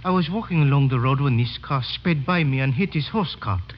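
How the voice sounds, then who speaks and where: A man's voice: medium pitch, fairly quick, close to an everyday voice. An actor playing a polite, formal and eager male witness in an old radio drama. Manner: formal, deferential, careful. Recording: dull, noisy old radio recording.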